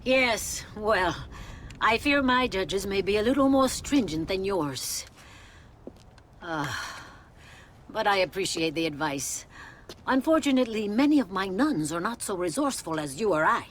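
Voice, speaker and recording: Actress as Mexican Mother Superior, Western game. Wry, composed, articulate, very expressive pitch, Mexican accent. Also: a little slow, a little hiss or hum, lightly acted. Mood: wry, rueful. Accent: Mexican Spanish. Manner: wry, articulate, dryly pious. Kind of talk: video game cutscene acting.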